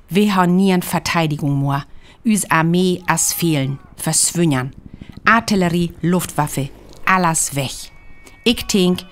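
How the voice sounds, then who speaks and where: An actress playing a grave radio reporter at a disaster, with a North Frisian accent, in a radio drama. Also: female voice, fairly low pitch, close to an everyday voice. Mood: grave, resigned. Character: grave female radio reporter witnessing a Martian invasion.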